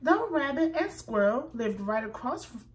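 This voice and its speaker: A woman reading a children's picture book aloud, slow and expressive, pointing out letter sounds for young listeners. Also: on the high side. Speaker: children's story reader.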